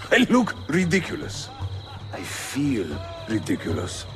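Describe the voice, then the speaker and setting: A man's voice: medium pitch, measured pace. A man with a Turkish accent, playing a wry, spirited and loyal Ottoman assassin leader in a video game. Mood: wry, playful. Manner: animated, wry. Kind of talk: cinematic game acting. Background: music.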